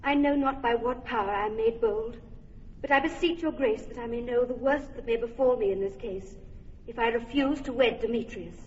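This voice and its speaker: A woman's voice: a little high, normal speed, lo-fi sound, slight background noise, lightly acted. An actress playing a defiant young noblewoman who pleads earnestly but firmly in an old radio play. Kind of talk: old radio verse drama. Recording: distant reverberant old radio recording.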